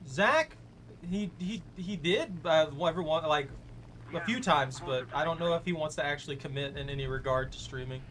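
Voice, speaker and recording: Brief: young male game streamer, teasing banter, bright and fairly high voice. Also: moderate pace, a little hiss or hum, rough recording. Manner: playful, teasing, loud exclamations. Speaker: gaming streamer. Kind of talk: live gameplay commentary.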